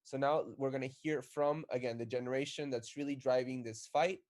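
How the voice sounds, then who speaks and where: A man co-hosting an activist live stream, speaking in an energetic, rallying way and introducing guests. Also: mid-range voice, fairly quick.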